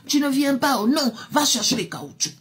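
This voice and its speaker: A woman's voice: big pitch swings, moderate pace. A woman doing an insistent, scolding young woman. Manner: insistent, scolding, high and raised. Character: exasperated young woman, firm girlfriend.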